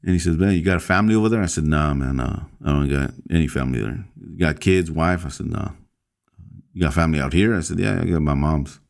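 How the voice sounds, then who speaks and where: A man from El Salvador, a pastor, recounting his story as a podcast guest in a halting way, with many fillers and restarts. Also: rumbling low, vocal fry.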